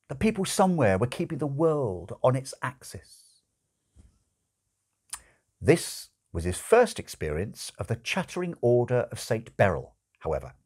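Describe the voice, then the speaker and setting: A man in his sixties reads a comic novel aloud expressively, with dramatic, wryly emphatic phrasing and deliberate pauses, recorded in a room at home. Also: on the high side, a little mellow, breathy edge. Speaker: audiobook-style reader. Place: small furnished room at home, indoors.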